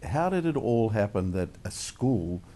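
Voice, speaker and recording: A man's voice: lowish voice, sing-song, a little slow, dark tone, slight background noise. A man hosting a show, asking upbeat leading questions of his guest. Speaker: radio show host. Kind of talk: casual interview chat.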